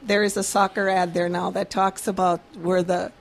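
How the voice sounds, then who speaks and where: A woman's voice: mid-range voice, flat delivery, moderate pace. A woman guest on a radio show, explaining earnestly and laughing easily. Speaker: guest practitioner. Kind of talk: casual radio chat, explaining.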